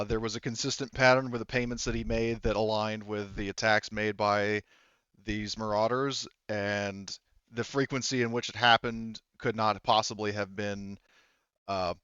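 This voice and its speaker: Smooth low-voiced guy gm-ing a tabletop game, thinking out loud, dry slightly muffled audio. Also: dry recording. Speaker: game master. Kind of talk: improvised tabletop game narration and casual chat.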